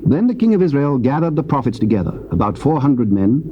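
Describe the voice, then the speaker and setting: A man narrates a Bible story formally, with lively, varied intonation at medium pitch. The sound is a dull old tape with clear hiss. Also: a little fast. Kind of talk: scripted dramatic Bible narration. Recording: muffled, noisy old cassette.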